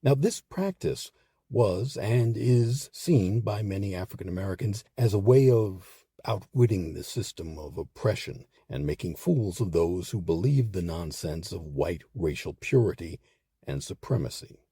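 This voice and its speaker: A man hosting and narrating a scripted history program with deliberate, dramatic phrasing. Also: low voice, expressive, warm tone, husky, dry recording, clean studio sound. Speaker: host and narrator.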